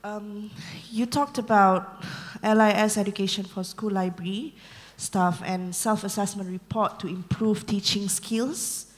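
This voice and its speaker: A woman's voice: mid-range voice, fairly even intonation, a little slow. A woman asking a question as a librarian at a conference. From Malaysia. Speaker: audience questioner, university librarian. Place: large conference hall audience. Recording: reverberant large auditorium.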